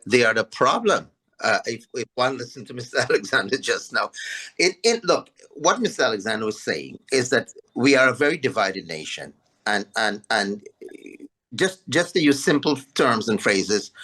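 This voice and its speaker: A man speaking as a panel guest, explaining in simple terms with halting, repeated words. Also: mid-range voice, a bit bright. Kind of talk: conversational political discussion.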